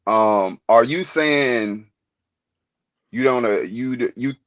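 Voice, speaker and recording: A man calling in, heard over a dull, lo-fi line with no room sound at all. His pitch is ordinary. He talks earnestly and haltingly, full of fillers. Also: fairly bright tone, dry recording. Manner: hesitant, filler-heavy, earnest. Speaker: caller. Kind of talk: casual call-in chat.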